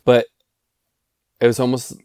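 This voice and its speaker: A man's voice: mid-range voice, monotone, a little slow, darkish tone, clear, resonant tone, no echo, clean studio sound. A young man from Canada, a podcast guest, talking casually in a loose, rambling way. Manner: rambling, many fillers. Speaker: podcast guest, life coach. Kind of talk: casual chat.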